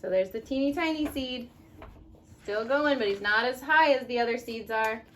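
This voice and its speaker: A woman teaching young children as a mother at home, reading a picture book expressively and pausing to ask simple questions. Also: fairly high pitch, slight background noise. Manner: expressive, instructive, asks leading questions.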